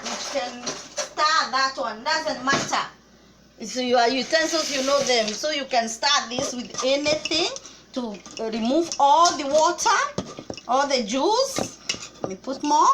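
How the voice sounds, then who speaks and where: A woman's voice: high voice, expressive, slow, noisy background. Kenyan woman chatting through a recipe. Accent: Kenyan English. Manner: chatty, explains step by step with small restarts. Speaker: cooking vlogger. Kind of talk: casual cooking tutorial.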